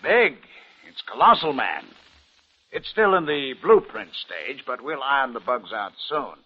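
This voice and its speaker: A man's voice: medium pitch, very animated, a little slow, breathy edge. An actor playing a boastful, scheming businessman and smug killer in an old radio drama. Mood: hearty, suspicious. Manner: boastful, self-satisfied, theatrical. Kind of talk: 1950s radio drama acting. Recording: muffled vintage radio broadcast.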